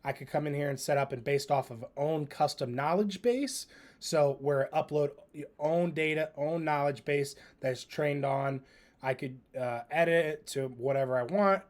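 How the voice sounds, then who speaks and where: A man demonstrating software in a casual spoken tutorial. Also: fairly high pitch.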